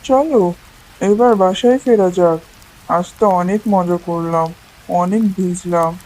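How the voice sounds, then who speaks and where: Lady doing a friendly easygoing village kid for a cartoon, kinda low n soft-edged. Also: measured pace, natural voice, low-quality recording. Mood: cheerful. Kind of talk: children's cartoon story dialogue.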